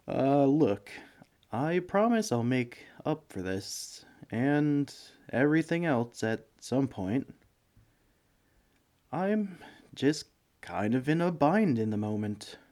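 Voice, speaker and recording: A man acts out a broke, bumbling young male villain from next door in a comedy audio roleplay. He talks slowly, with pitch that swings widely, rambling sheepishly through hesitant asides as he angles for favors. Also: very animated, darkish tone. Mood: persuasive, boastful. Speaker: roleplay voice actor. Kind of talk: scripted comedic audio roleplay, one side of a conversation.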